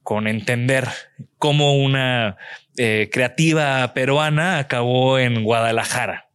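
Man, podcast host, mid pitch, dry close mic, winding questions. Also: slow, fairly bright tone, clean tone, no echo. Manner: rambling, with restarts and frequent "¿no?" tags. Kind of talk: conversational interview.

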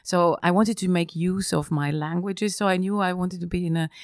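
Middle-aged woman from Austria, low and gravelly, hesitant interview guest with many fillers, dry close sound. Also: fairly even intonation, moderate pace, no room sound. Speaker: interview guest, business executive. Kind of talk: casual interview conversation.

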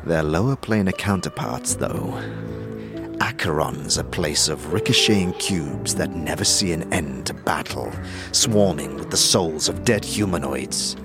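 A man's voice: very deep voice, animated, measured pace, full character voice. A man playing a world-weary, grave traveler in a fantasy audio drama, speaking formally and ominously. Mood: grave warning. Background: prominent background music.

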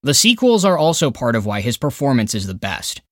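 Animated man narrating a scripted countdown. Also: mid-range voice, brisk, no echo, studio quality. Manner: opinionated, emphatic, dramatic phrasing. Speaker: podcast narrator and film critic.

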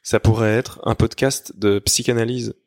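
A man's voice: mid-range voice, little pitch movement, moderate pace, darkish tone. A man chatting casually as a podcast panelist, with a teasing way of talking. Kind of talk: casual chat.

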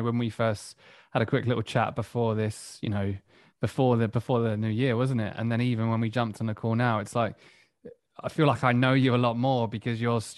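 A man's voice: medium pitch, no echo, studio quality. Enthusiastic man with an Essex accent. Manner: enthusiastic, affirming. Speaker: podcast host. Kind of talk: conversational podcast interview.

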